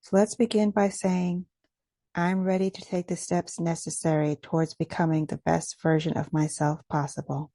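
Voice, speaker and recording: A woman leading a guided meditation in a gentle, measured, instructional way. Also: fairly low pitch, level tone, no room sound.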